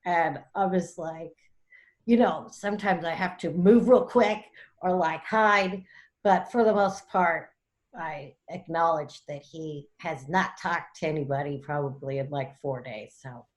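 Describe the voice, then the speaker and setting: A woman's voice: deep voice, a little slow. A woman joining a podcast as a guest over a video call, chatting casually and swearing now and then. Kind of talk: casual chat.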